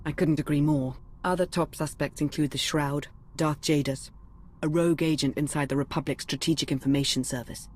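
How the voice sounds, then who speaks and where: Measured, serious intelligence adviser played by a woman. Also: low-pitched, fairly even intonation, a little mellow, slight background noise, lightly acted. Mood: concerned, focused. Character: earnest, analytical female intelligence adviser. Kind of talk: dramatic video game acting.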